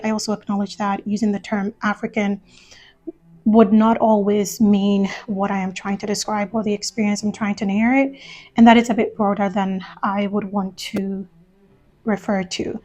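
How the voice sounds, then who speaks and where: A woman's voice: medium pitch, a little mellow. Woman from Ghana giving a video essay. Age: adult, lived years in the US. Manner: measured, explanatory, thoughtful.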